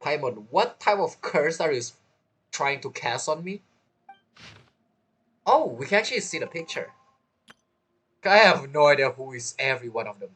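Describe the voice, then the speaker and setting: A man's voice: on the high side, very animated, normal speed, long pauses, fairly bright tone, husky. A man streaming a game playthrough with casual commentary, musing aloud and making joking asides.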